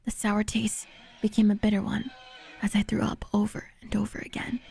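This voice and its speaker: A woman voicing a traumatized, bitter teenage boy narrating a horror visual novel in a breathy, halting, haunted way. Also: medium pitch, monotone, dark tone, soft background music, exaggerated voice. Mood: horrified, sickened. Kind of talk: dramatic visual novel readalong.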